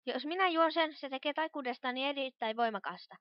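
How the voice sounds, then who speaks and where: A woman's voice: sits high, no room sound, close to an everyday voice. A woman voicing a studious, cautious young pony magician in a cartoon fan dub, explaining things in a precise, earnest way. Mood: explanatory. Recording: dull lo-fi home recording.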